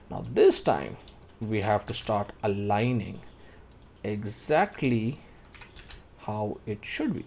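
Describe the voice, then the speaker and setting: A man in his thirties narrating a step-by-step software tutorial, explaining each action as he does it. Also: medium pitch. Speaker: tutorial instructor.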